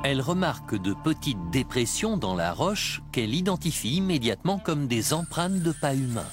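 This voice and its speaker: Lively male documentary narrator over music. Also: medium pitch. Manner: measured, explanatory storytelling. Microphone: studio voice-over mic. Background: background music.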